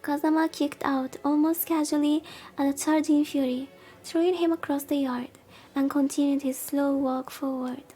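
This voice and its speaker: A woman's voice: high voice, steady tone, normal speed, mellow. A woman VTuber reading a visual novel aloud in a soothing way and adding casual commentary. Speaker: VTuber streamer. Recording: background music. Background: music.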